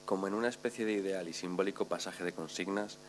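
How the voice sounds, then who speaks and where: A man at a conference reading a formal prepared paper aloud in a steady voice. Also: sits low, fast talker, a little hiss or hum. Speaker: conference speaker.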